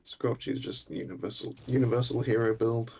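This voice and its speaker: A man casting a game, calling plays quickly with dry quips between them. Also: medium pitch, steady tone, gravelly, a little mellow, husky. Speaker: esports caster. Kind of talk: play-by-play game commentary.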